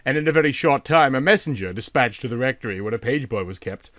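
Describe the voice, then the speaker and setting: Man, mid-pitched, literary audiobook narration, expressive and dramatic, very dry acoustic. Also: fast, dry recording. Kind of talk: scripted literary story narration.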